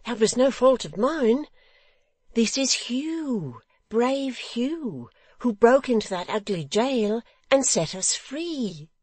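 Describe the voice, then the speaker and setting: A woman voicing an eager, simple-minded young man with childlike trust in an audiobook, in an excitable, wondering singsong. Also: a little high, takes it slowly, husky, no echo, voice pushed up, exaggerated voice. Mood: earnest, proud. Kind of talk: audiobook character acting.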